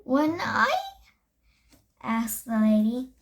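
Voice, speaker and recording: A woman's voice: fairly high pitch, very animated, drawn-out words, full character voice. A young girl voicing a helpful, obliging lady behind a bakery counter in a storybook. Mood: puzzled.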